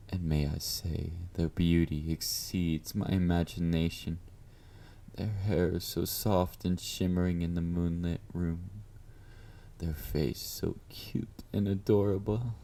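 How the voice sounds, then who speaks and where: Casting: male actor for a clingy yandere admirer in audio roleplay, dark soft timbre, slow delivery, flirty and self-assured. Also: low-pitched. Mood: dreamy, infatuated. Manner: doting, confident, coaxing with pet names. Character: obsessive yandere male fan, clingy, flirty, confident stalker. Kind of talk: intimate roleplay monologue addressed to the listener.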